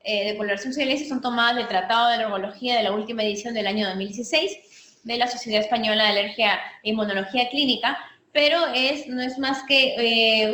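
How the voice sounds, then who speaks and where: A woman's voice: a little high, pushed and bright. A woman physician with a Peruvian Spanish accent giving a medical teaching talk, explaining step by step with frequent restarts and fillers. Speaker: physician lecturer. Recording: echoey room with faint noise.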